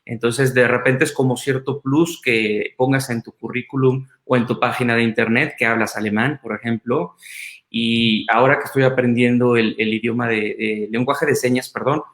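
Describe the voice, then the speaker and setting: A man talks on a video call, thinking aloud with fillers. His voice sits at an average pitch. It carries a roomy echo from the space around him. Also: steady tone, moderate pace, a bit bright. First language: Spanish. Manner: thoughtful, restarts with fillers. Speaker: doctor. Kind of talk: casual video call chat.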